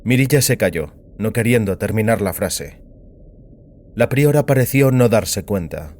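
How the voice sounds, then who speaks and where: A man narrating a scripted dramatic story in a solemn, deliberate manner. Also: on the low side, lively intonation, darkish tone. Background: music.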